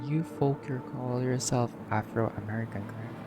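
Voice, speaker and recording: A man's voice: mid-range voice, fairly even intonation, moderate pace, close to an everyday voice. A man voicing a menacing, smug gangster boss from a visual novel, with domineering, mock-polite threats. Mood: commanding, mocking. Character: menacing, bigoted male crime boss, smug and violent. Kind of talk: visual novel villain dialogue read aloud. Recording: loud music under the voice.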